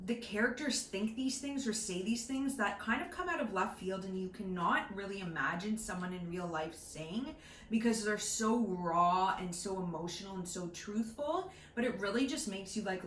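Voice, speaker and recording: A woman's voice: mid-range voice, pushed and bright. A young woman chatting casually and enthusiastically about books as co-host, giggly and opinionated. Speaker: book podcast co-host. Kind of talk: casual book chat. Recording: roomy echo with faint background noise.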